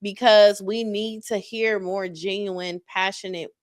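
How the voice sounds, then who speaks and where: A woman in her forties hosting a podcast, chatting casually and encouraging her guest in quick bursts with repeated starts. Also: medium pitch, fairly even intonation, no echo, studio quality. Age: 40s. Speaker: podcast host. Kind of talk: casual interview chat.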